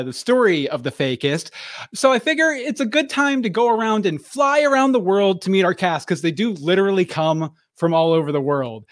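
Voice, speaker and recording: A man's voice: high-pitched, dry recording, studio quality. A man hosting a podcast, giving a jokey, self-mocking recap of his audio comedy's story. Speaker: podcast host and show creator. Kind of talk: fast comic recap of a story.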